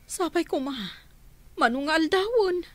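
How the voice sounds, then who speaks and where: An actress playing a chatty, animated woman on a phone call in a radio drama. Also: extremely high pitch, normal speed, no echo.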